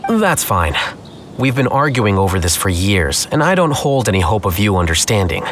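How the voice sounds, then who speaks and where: Low, deadpan scholarly man. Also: soft background music. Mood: calm, matter-of-fact. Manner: measured, formal, deadpan. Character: calm, stoic, dryly teasing male scholar. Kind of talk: scripted video game dialogue.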